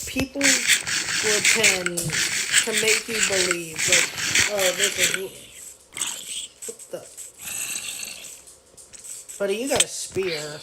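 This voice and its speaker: An eighteen-year-old woman with a youthful, childish-sounding voice, chatting casually and rambling on a gaming stream, with lots of fillers and throat clearing. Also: medium pitch, very noisy.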